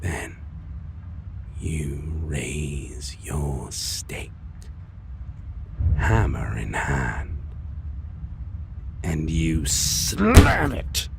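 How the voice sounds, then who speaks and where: A menacing male vampire for a dark fantasy audio drama, with a low, gravelly voice. He taunts with deliberate theatrical relish, swinging between swells and breathy whispers. A noticeable ambient noise sits behind him. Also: very animated, heavily acted. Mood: predatory, taunting. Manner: slow, deliberate, mocking, drifting into breathy whispers. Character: taunting, menacing male vampire, a lost love turned monster.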